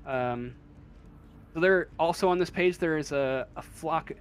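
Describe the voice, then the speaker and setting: A man with a slightly high voice narrates a tabletop role-playing game as game master. He talks slowly and haltingly, often pausing to find the right word as he describes things. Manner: halting, searching for words as they describe.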